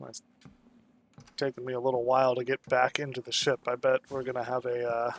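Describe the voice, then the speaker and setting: A man's voice: mid-range voice, brisk, slight background noise. Man streaming with a lingering cold. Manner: relaxed, rambling, laughs often, lots of ums. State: getting over a cold. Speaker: streamer playing a space game. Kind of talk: casual live-stream commentary.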